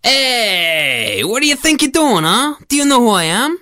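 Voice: mid-range voice, very animated, pushed and bright, slight background noise, pitched above the performer's own voice. Storyteller putting on a menacing, boastful storybook goat. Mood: challenging. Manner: drawn-out threats, challenging questions. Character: huge menacing male mountain goat, bullying and boastful. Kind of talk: storybook character voice.

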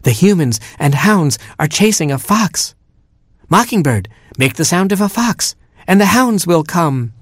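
A man's voice: sits high, very animated, unhurried, no room sound, clean studio sound, pitched above the performer's own voice, heavily acted. A man voicing a young male robin who plays a superhero outlaw, in a bossy, urgent voice.

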